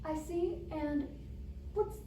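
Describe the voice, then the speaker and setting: A woman's voice: sits high, slow, mellow. A woman acting a polite, matter-of-fact telephone clerk in an amateur radio play, with formal, courteous delivery. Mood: polite, detached. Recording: echoey, distant microphone with faint noise.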